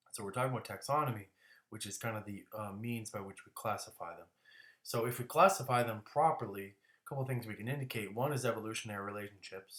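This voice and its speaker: Casting note: a man in his own voice teaching a casual class, lowish and bright, with stumbles and restarts. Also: reverberant. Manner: casual, restarts words, coughs now and then. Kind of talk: classroom lecture.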